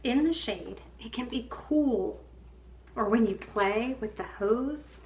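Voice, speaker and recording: A woman reading a children's picture book aloud in a measured, expressive storytelling manner with pauses. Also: on the high side. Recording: clear background ambient noise.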